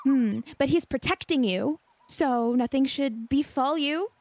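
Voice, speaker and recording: A woman's voice: sits high, measured pace, darkish tone, no room sound. A woman playing a tabletop game in character, speaking in a flustered, hesitant way. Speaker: player.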